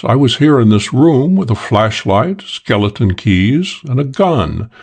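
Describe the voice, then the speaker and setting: A man voicing a suave, self-assured English gentleman thief telling a wry crime story for an audiobook, with old-fashioned British slang. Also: mid-range voice, gravelly, husky, heavily acted.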